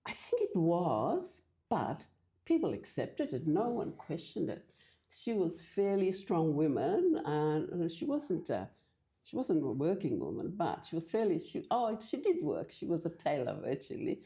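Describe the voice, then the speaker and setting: An older woman from Fiji, talking in a halting, hesitant way as a guest in a casual church interview. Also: low voice, huge pitch swings, dark tone. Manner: hesitant, halting with many restarts.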